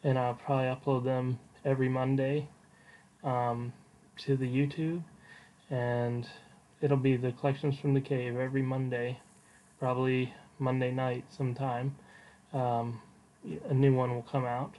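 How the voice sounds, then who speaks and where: A man hosting a casual solo podcast, giving an update in an informal, unscripted, halting way. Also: medium pitch, flat delivery, warm tone, slight background noise, rough recording. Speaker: podcast host.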